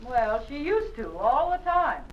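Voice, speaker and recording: An actress playing a brisk, sharp-tongued middle-aged housewife in a 1950s radio drama. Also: female voice, sits high, sing-song, lo-fi sound. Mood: tart. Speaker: wife. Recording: faint hissy 1950s radio recording, mic at a distance.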